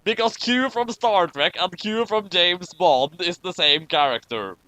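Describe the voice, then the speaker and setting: A man's voice: high-pitched, a little slow, pushed and bright, no echo, low-quality recording. A man from Norway doing casual, joking commentary on a fan fiction readthrough over an online voice call. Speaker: commentator reading aloud.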